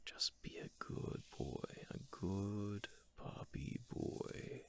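Slow, whispering man as a doting male roommate. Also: on the low side. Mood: tender, teasing. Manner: slow, soothing, breathy, doting. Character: affectionate, teasing male roommate, doting and gently dominant. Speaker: ASMR roleplay performer. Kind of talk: ASMR roleplay, whispered and softly spoken.

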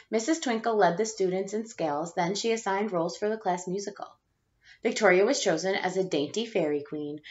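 Young woman reading a storybook, slightly dull sound. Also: lowish voice. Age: young adult. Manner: expressive, putting on character lines. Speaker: teacher reading aloud to children. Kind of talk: picture book read-aloud. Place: small bedroom at home, indoors.

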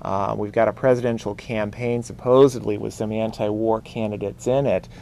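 A man's voice: lowish voice, fairly even intonation, normal speed, creaky, warm tone, slight background noise. A middle-aged American man hosting a political talk show, speaking in an earnest, opinionated way. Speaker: talk show host and activist. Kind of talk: political discussion on a call-in TV show. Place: small indoor TV studio.

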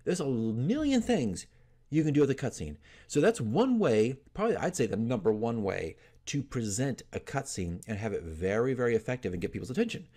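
A man's voice: mid-range voice, big pitch swings, a little fast, mellow. A man in his forties with a mid-range voice talks casually into a desk microphone in a room at home. He is conversational and emphatic, giving his opinions like a host advising fellow hobbyists. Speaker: dungeon master giving tips as channel host. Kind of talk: casual opinion talk to camera. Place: small furnished room at home, indoors. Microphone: desk microphone in front of him.

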